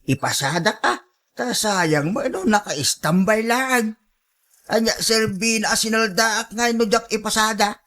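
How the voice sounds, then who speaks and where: A man's voice: sits high, a little slow, sharp tone. A man plays a middle-aged village moneylender in a radio drama. He has a Filipino Ilocano accent. He comes across as wary and practical, asking questions in a matter-of-fact way.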